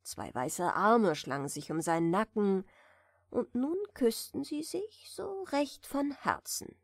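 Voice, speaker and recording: A woman reading a fairy tale aloud as a bedtime audiobook, softly and soothingly, in a deliberately gentle manner. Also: medium pitch, big pitch swings, vocal fry, no room sound, studio quality. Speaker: audiobook reader.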